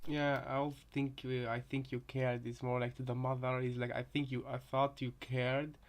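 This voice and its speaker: A man's voice: medium pitch, flat delivery, moderate pace. A man in casual chat as a co-commentator, explaining things simply and hesitantly, often restarting and repeating his phrases.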